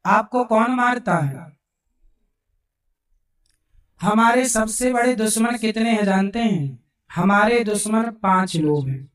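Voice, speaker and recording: A hoarse man delivers a devotional sermon at a slow, deliberate pace, pausing to ask the crowd questions and then answering them himself. His voice sits back from the mic, carried by the echo of a large hall. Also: high voice, fairly bright tone. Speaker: Bhagavat katha preacher. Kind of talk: devotional sermon to a live gathering. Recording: roomy sound from a distant mic.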